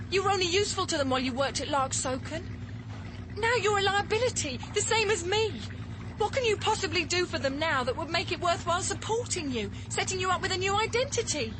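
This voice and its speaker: Young mother, radio play, angry and afraid, very high swooping voice, engine and water noise behind. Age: young adult. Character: frightened, angry young mother pleading for her life. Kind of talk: radio drama acting. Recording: noisy boat engine and water.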